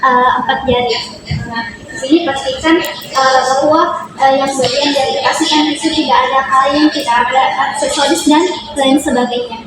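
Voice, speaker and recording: Young female trainee instructor, high voice, halting step-by-step delivery, recorded in a very reverberant room. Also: fairly even intonation. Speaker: physiotherapy student demonstrating a treatment. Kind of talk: instructional demonstration. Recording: echoey room, distant mic, loud music. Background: loud music.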